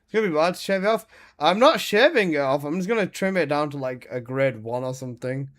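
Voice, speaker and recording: A man in his early twenties chats live with his stream audience while he plays. His talk is casual, teasing and full of swearing and quick laughs. His voice sits slightly on the high side for a man. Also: expressive. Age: 20s. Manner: joking, swearing banter with frequent laughs. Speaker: game streamer.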